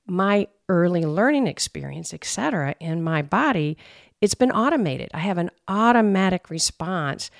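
Intense, gravelly-voiced woman. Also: fairly low pitch, normal speed, no room sound, clean studio sound. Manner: intense, emphatic voice that rises when animated. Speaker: podcast co-host. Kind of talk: conversational podcast discussion.

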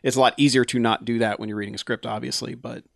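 A man hosting a casual podcast, reading out listener mail and giving relaxed advice with frequent laughs. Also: medium pitch, creaky, no echo, clean studio sound. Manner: relaxed, laughs often, slows down when reading emails.

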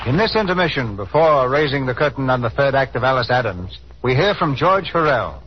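A man hosts a vintage 1930s radio program in a formal, oratorical style, with a medium-pitched voice. His scripted delivery comes through a muffled broadcast with faint noise. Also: lively intonation, brisk. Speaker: radio show host.

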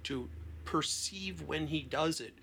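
Expressive man telling stories, with creaky voice. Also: fairly high pitch, slow, slight background noise. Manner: expressive, emphatic storyteller. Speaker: therapist, podcast guest. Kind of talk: conversational explanation with anecdotes and acted-out quotes.

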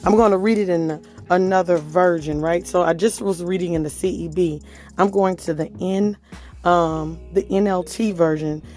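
A woman hosting a devotional podcast, talking hoarsely in a casual, conversational way and reading scripture aloud. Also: fairly low pitch, warm tone. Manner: conversational, reads scripture aloud, chatty fillers. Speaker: podcast host.

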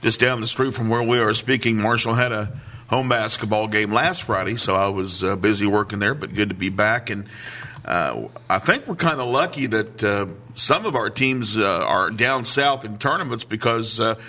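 A man on a sports radio show, giving scores in a brisk, plain way. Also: on the low side, bright, forceful tone. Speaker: sports reporter reading scores.

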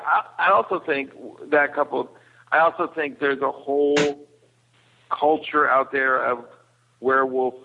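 Through a very dull, lo-fi connection comes a man with a creaky, medium-pitched voice. He is a guest in a casual discussion and talks hesitantly, restarting sentences as he thinks. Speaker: podcast guest reviewer.

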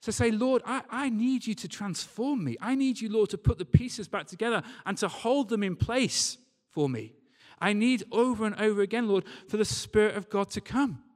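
A man's voice: high voice, moderate pace, few pauses. A man preaching a church sermon in an earnest, emphatic way, repeating key phrases. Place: large reverberant church or hall. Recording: long hall reverb.

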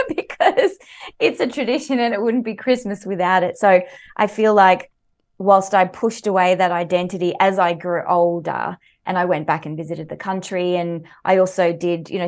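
A woman with an Australian accent, a guest author on a podcast, telling personal stories animatedly and laughing easily. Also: medium pitch. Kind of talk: conversational interview.

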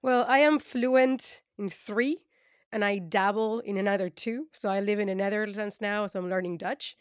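A woman's voice: mid-range voice, moderate pace, smooth, no room sound, clean studio sound. A woman podcast co-host, speaking thoughtfully with frequent restarts in a casual panel discussion. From Latin America. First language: Spanish.

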